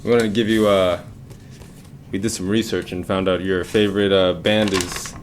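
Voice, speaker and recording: A man's voice: deep voice, level tone, moderate pace, a little hiss or hum. An American man hosting a podcast with casual interview chat. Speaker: podcast host.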